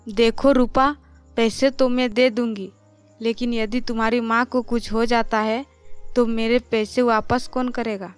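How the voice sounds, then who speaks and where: Calculating aunt, voiced by a woman: wary, pointed, high and crisp cartoon dub. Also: steady tone, unhurried, soft background music, close to an everyday voice. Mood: doubtful. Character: distrustful, calculating aunt lending money.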